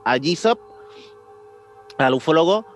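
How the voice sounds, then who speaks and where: A man's voice: a little high, expressive, slow, soft background music. A young man with a Mexican accent co-hosting a podcast, telling a mystery story in casual, slang-filled chat. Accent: Mexican Spanish. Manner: slangy, says "güey" often, acts out voices in quotes. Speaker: podcast co-host.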